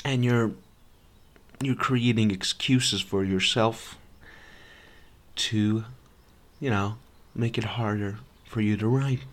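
Young man from Serbia, podcast host, blunt and profane, low voice, unhurried delivery. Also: fairly even intonation. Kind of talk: ranting monologue.

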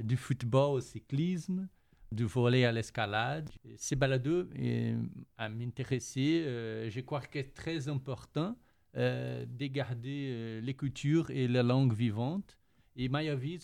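A man's voice: medium pitch, vocal fry, a little mellow. A young man from Brazil, a native Portuguese speaker with a Brazilian Portuguese accent, introducing himself hesitantly with many fillers. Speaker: podcast columnist. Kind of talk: self-introduction in casual chat.

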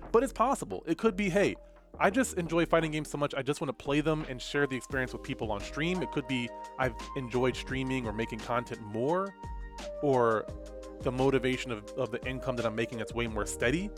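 Guy with a blocked nose talking games to his viewers, kinda nasal, rambly, mid pitch, faint music under him. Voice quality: slightly nasal. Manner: thoughtful, rambling, with frequent fillers. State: stuffy nose. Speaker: fighting game commentator and content creator. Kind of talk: casual commentary talking to camera.

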